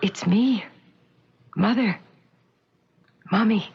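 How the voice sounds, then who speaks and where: An actress playing a determined woman posing as a patient's mother in a radio drama, speaking in a soft, coaxing, intimate way. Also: mid-range voice, drawn-out words, vocal fry, breathy edge, exaggerated voice. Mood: coaxing, tender. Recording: dull lo-fi old radio recording.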